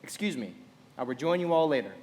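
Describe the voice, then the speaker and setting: Young male society host played by an actor, live radio play; courteous, assured, high voice in reverberant hall. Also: animated, quick pace, a little mellow, lightly acted. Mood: genial courtesy. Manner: polite, genteel, assured. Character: courteous, genial young millionaire party host.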